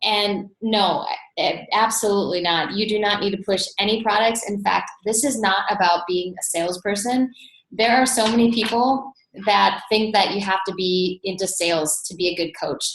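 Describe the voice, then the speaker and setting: A woman's voice: mid-range voice, normal speed. An American woman from North Dakota hosting an informal webinar, chatty and enthusiastic, recorded indoors over an online call. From North Dakota, USA. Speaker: webinar host and team coach. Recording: roomy echo.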